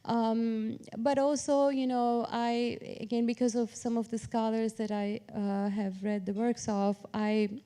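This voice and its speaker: A woman's voice: on the high side, little pitch movement, unhurried, warm tone, clean tone. A woman from Turkey speaking as an academic on a panel, explaining her approach in an informal, structured way. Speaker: academic panelist, professor of public policy.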